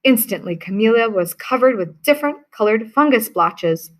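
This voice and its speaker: A woman reading a children's picture book aloud in a lively, expressive way. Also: fairly high pitch, normal speed. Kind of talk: read-aloud storybook narration.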